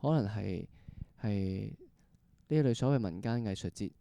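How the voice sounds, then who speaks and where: A man's voice: fairly low pitch, animated, moderate pace, gravelly, mellow, clear, resonant tone, no room sound. A man with a Hong Kong Cantonese accent hosting a casual interview, hesitating and repeating phrases, with English words mixed in. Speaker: podcast host.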